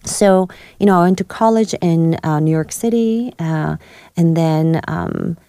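A woman from South Korea speaks as a studio interview guest, her voice low and mellow. She tells her story in a halting way, full of ums and restarts. The sound is dry and close. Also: very animated, creaky, clean tone, no room sound. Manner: hesitant, many fillers and restarts. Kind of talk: podcast interview, personal story.

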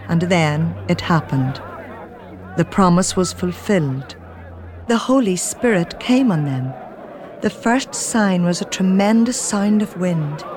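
An actress narrating as Peter's wife, telling the story in a calm, measured first person for a radio drama. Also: female voice, lowish voice, close to an everyday voice. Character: Peter's wife, a woman recounting events.

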